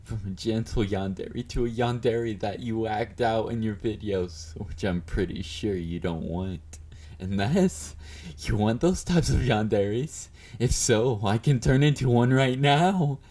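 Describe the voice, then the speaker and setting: A man's voice: fairly low pitch, unhurried, darkish tone. A man playing a confident, obsessive, flirty yandere fan in an intimate audio roleplay, teasing and smug as he speaks to his beloved. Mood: menacing. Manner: teasing, smug, mock-considerate, murmured endearments.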